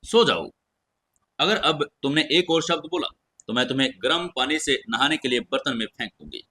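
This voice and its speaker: A man's voice: medium pitch, animated, moderate pace, bright, forceful tone. A man voicing a cold, commanding young noblewoman with a curt, imperious manner in an audio story. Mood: threatening.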